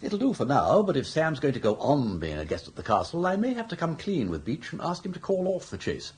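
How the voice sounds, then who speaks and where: An actor playing a suave older English gentleman schemer with an upper-class accent and jaunty, ornate phrasing in a radio comedy. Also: fairly high pitch, wildly swooping pitch, a little fast, breathy edge, exaggerated voice. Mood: roguish. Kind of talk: radio comedy drama acting.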